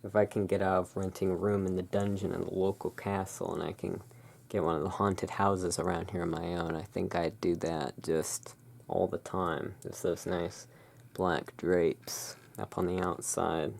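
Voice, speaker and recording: A person playing the soft-spoken, musing owner of a gothic curiosity emporium in a halting, hushed ASMR roleplay. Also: low-pitched, level tone, creaky. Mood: musing, relaxed.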